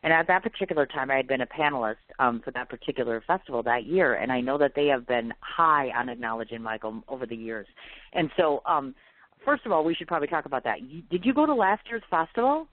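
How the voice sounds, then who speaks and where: A woman's voice: rumbling low, animated, fast talker, no echo. A woman hosting a radio interview, talking enthusiastically and addressing listeners as folks. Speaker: radio host. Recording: phone-like line.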